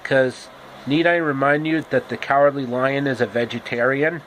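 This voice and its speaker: A man telling a story informally, with halting, deliberate phrasing and casual asides. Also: medium pitch, fairly even intonation, a bit bright, slight background noise, low-quality recording. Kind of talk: informal, improvised storytelling with pop-culture asides.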